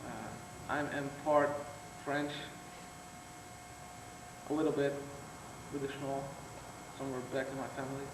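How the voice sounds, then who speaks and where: A man's voice: medium pitch, flat delivery, a little slow, long pauses. Hesitant teenage boy in an echoey room. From the Netherlands. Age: teens. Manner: hesitant, many fillers and restarts. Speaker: exchange student. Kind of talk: short self-introduction speech. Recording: echoey room, mic far off, noisy.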